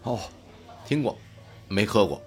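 A man playing a polite, reserved young working man in an audio drama, speaking in a terse, low-key way. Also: big pitch swings, measured pace, husky, faint music behind, heavily acted. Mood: unsure. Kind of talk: Chinese audio drama dialogue.

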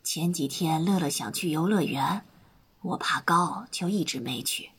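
An elderly grandmother in a radio drama. Her voice is very husky and breathy, on the low side, and she speaks slowly, with tired, comforting tenderness. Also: a bit bright, heavily acted. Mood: tender, weary. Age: older. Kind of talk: Mandarin audio drama acting.

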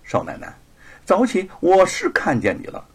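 Guy doing a petty jealous first wife in an audiobook, accusing, high and husky. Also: wildly swooping pitch, normal speed, exaggerated voice. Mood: snide accusing. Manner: snide, accusing. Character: plump jealous senior wife, snide and petty.

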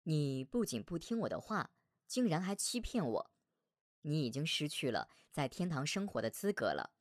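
A man voicing the Virgin Mary as a gentle but stern heavenly foster mother in a storybook tale, measured and solemn. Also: fairly high pitch, big pitch swings, no room sound, studio quality. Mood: angry, stern.

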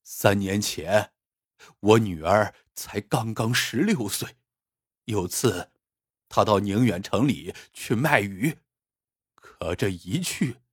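A storyteller voicing a grieving old fisherman who speaks low, slow and broken, dropping to a hush. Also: husky, dry recording, studio quality, heavily acted. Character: grieving old male fisherman, weary and bitter.

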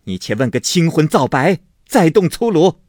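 A woman's voice: low voice, very animated, moderate pace, a little mellow, no room sound, clean studio sound, pitched above the performer's own voice. A reader voicing an indignant older mother. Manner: urgent remonstrating. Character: flustered older mother scolding her son.

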